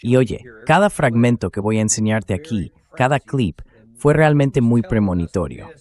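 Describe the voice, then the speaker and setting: A man hosting a political commentary show, explaining his points in a measured, emphatic way. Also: medium pitch, big pitch swings, darkish tone, no room sound. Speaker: show host. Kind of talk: political commentary to camera, translated read-aloud.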